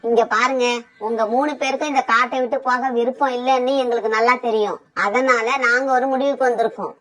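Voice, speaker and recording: A woman's voice: fairly high pitch, fairly even intonation, brisk, fairly bright tone, natural voice. A woman voicing a bossy female bird, a wife and mother, in a cartoon, talking in a scolding, commanding way. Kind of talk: cartoon voice acting.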